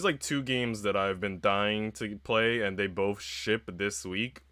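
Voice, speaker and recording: A man's voice: lowish voice, pushed and bright, clear, resonant tone, no echo, studio quality. A man livestreaming a game, chatting casually and informally with plenty of slang. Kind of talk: casual livestream chat, reading game narration aloud.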